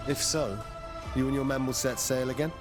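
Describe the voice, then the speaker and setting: A man's voice: mid-range voice, fairly even intonation, brisk, lightly acted. A man playing an earnest, level-headed young warrior in a video game, direct and restrained. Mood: calm, probing. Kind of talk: video game cutscene acting. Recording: music behind the voice.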